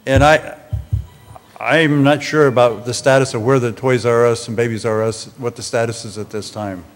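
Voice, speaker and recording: A man answering questions at a public meeting, polite and halting, with many restarts. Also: mid-range voice, fairly even intonation. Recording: reverberant meeting hall.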